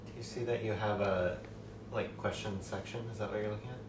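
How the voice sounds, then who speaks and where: A man helping off camera, making quick offhand side remarks. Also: fairly low pitch, steady tone. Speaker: helper off camera. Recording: roomy, distant from the mic with audible noise.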